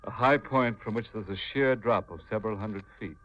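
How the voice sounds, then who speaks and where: An actor playing a slyly jovial, macabre horror host on 1950s radio, theatrical and mock-courteous in manner. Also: male voice, fairly low pitch, a little fast, close to an everyday voice. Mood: grave, ominous. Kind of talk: 1950s radio mystery hosting and narration. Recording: muffled 1950s radio recording with music.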